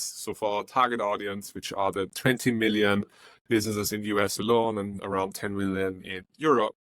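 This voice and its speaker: A man's voice: lowish voice, a bit bright, dry recording. A man from Austria with an Austrian German accent, a startup founder answering interview questions earnestly, with many ums and uhs. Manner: earnest, with frequent um and uh.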